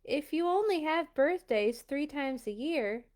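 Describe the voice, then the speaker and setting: A woman voicing an excitable, proud old farmer from a storybook, blustering and exclaiming. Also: high-pitched, measured pace. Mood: proud, cheerful.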